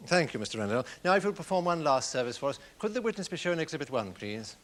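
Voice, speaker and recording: A male prosecutor in a courtroom drama, courteous and orderly. His voice sits a little high and moves quickly. The intonation is very animated, while the wording stays formal. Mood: businesslike. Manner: formal, polite, measured phrasing. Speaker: barrister.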